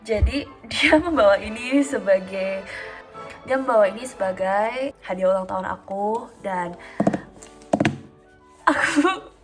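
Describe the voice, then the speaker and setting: A woman's voice: medium pitch, takes it slowly, pauses often. Chatty young woman vlogging over faint music. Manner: calm and chatty, with frequent fillers and laughs. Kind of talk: casual vlog chat. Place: at home.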